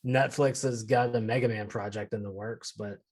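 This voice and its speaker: Husky-voiced man in casual chat. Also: mid-range voice, fairly even intonation, normal speed, darkish tone.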